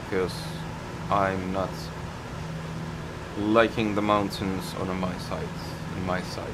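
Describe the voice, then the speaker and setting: Slow, low-voiced Finnish man over loud drone. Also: flat delivery, very noisy. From Finland. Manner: unhurried, halting, short phrases. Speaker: live streamer flying a flight simulator. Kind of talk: live stream commentary, thinking aloud.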